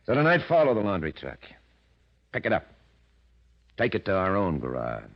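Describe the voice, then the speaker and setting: An actor playing a cagey, self-assured gang boss in the style of 1940s radio crime drama. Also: male voice, deep voice, huge pitch swings, normal speed, full character voice. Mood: confident, scheming. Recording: dull, lo-fi old radio recording.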